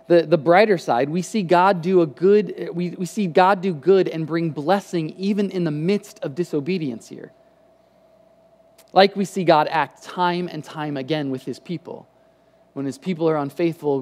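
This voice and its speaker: A man in his thirties preaches a casual, conversational sermon from a church stage, his voice a touch higher than most men's. He talks through a headset mic, often restarting phrases as he gestures. A long hall reverb trails his words. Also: normal speed. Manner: conversational, animated hand gestures, frequent restarts. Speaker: pastor. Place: church stage with lit patterned backdrop.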